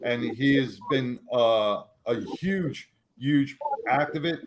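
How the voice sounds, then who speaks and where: A man's voice: mid-range voice, slow, rough recording. A man co-hosting casual panel talk who speaks emphatically. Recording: crowd noise behind.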